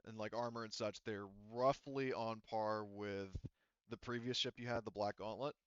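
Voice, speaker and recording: Clear-voiced man running a tabletop game. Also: fairly low pitch, steady tone, normal speed, dry recording, lo-fi sound. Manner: informal, swears freely. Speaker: game master. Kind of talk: casual tabletop game narration.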